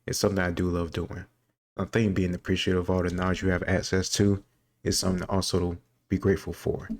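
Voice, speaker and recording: Very low, even-toned man, casual commentary. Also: no room sound. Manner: reflective, thinking aloud. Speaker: video host taking a history quiz.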